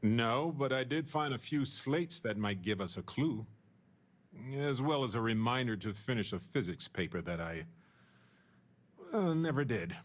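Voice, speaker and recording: An actor playing a witty, sentimental space explorer and scientist in a video game, with a wry, playful, musing manner. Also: male voice, mid-range voice, lively intonation, measured pace. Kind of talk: video game dialogue acting.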